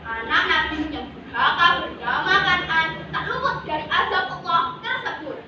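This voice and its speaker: Very high-pitched girl storyteller in echoing hall. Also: normal speed, a crowd in the background. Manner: theatrical, declamatory. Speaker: storytelling contestant. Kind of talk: dramatic memorized religious storytelling. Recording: echoey noisy hall, muffled, far off.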